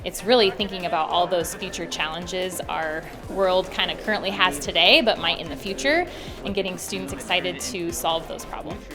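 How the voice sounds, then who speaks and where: Low-voiced American woman over background music. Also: moderate pace. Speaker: education program coordinator. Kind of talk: promotional interview. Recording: music bed under speech.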